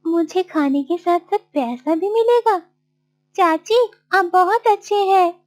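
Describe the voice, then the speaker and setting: A woman acts out a poor little boy in a cartoon tale. The voice is pitched extremely high and moves at a slow pace. He is polite, earnest and plaintive, as if forever asking for fairness. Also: darkish tone. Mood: eager, polite. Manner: earnest, pleading. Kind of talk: children's cartoon story voice acting.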